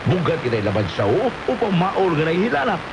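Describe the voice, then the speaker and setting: A man's voice: high-pitched, huge pitch swings, fast talker, creaky. A man with a Cebuano Filipino accent doing punchy scripted radio announcements and commercials. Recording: hissy, noisy AM radio reception.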